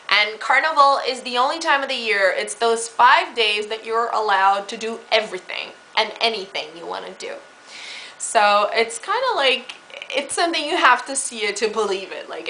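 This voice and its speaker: A woman's voice: a little high, bright, forceful tone, slight background noise, low-quality recording. A woman from Brazil, explaining her home country in a chatty, casual way with fillers and restarts. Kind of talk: casual spoken talk.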